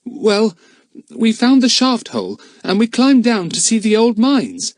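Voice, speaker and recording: A man's voice: high voice, huge pitch swings, measured pace, no echo, pitched above the performer's own voice, exaggerated voice. A man voicing an earnest young boy who pleads and bluffs, read in a storybook. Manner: eager, pleading. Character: earnest young boy, brave but desperate. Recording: dry close mic.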